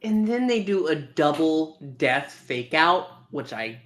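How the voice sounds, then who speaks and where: A man's voice: on the high side, sing-song, slow pace, fairly bright tone. A man co-hosting a podcast who gives wry, chatty opinions on an audio drama, often with fillers and small laughs. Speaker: podcast co-host. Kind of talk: casual review chat.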